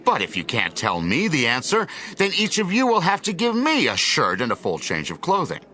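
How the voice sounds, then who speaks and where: A man playing a brash, stubborn young strongman in an audio drama, with bold, drawn-out, swaggering lines. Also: on the high side, huge pitch swings, bright, forceful tone, full character voice. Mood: boastful, teasing. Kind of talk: audio drama Bible acting.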